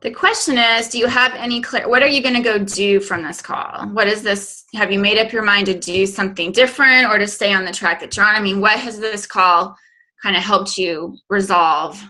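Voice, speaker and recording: A woman's voice: medium pitch, normal speed, bright, forceful tone, poor audio. A woman speaking as a guest coach on a group call, chatty and informal, often quoting little asides as she explains.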